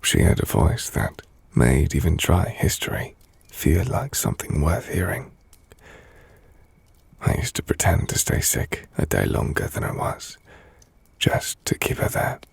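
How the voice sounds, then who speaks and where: Gentle, brooding male vampire noble, acted by a man, ASMR roleplay. Breathy, husky, unhurried and measured, close and intimate. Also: very deep voice, wildly swooping pitch, no room sound, full character voice. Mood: wistful, tender. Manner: slow, hushed, measured, formal. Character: brooding, gentle, melancholy male vampire-blooded castle lord. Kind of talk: ASMR audio roleplay, intimate scripted monologue.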